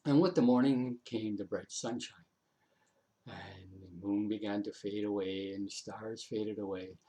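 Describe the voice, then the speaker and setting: A man telling a folk tale aloud in a dramatic, unhurried way, repeating phrases for effect and dropping in conversational asides. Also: on the low side, fairly even intonation, dark tone. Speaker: storyteller. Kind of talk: oral folk tale storytelling.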